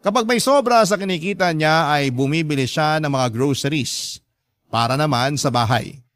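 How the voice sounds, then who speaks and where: An earnest young man's narration voiced by a male actor for a radio drama. The voice is fairly high and very dry, confiding a personal story in scripted first person. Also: normal speed, fairly bright tone, dry recording, close to an everyday voice. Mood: reflective. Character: earnest young man confiding his own sad life story.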